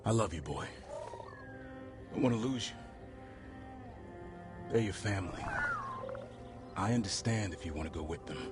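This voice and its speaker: A man's voice: low-pitched, natural voice. An actor playing an affectionate, tender man parting from his beloved animal in a cinematic game scene. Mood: tender, bittersweet. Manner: tender, unhurried. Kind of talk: cinematic video game acting. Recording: loud music behind the voice.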